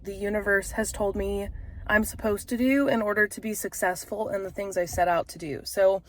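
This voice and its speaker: A woman's voice: medium pitch, dry recording. A woman in her thirties with a stuffy, congested voice, talking frankly and casually to camera with frequent fillers. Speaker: vlogger and author.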